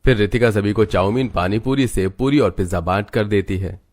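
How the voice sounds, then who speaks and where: A man's voice: on the low side, fairly quick, a little mellow. A man narrating a scripted moral story as its storyteller.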